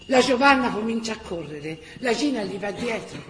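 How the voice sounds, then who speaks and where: An earthy Tuscan woman telling a wartime story for radio theatre. Her voice is fairly high and expressive, in a roomy space with slight noise. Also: moderate pace. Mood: tense. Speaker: actress-narrator. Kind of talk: dramatic radio theatre narration. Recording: roomy stage sound, faint background noise.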